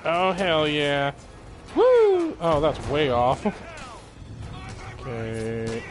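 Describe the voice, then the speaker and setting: A man commentates live on a video game in an excitable, joking way with plenty of swearing. His voice sits fairly high and swings widely in pitch. Loud game music plays behind him. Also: very slow. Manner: excitable, jokey, exclaiming and swearing. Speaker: game streamer. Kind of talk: live gameplay commentary, reacting and reading chat. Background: video game music, gunfire.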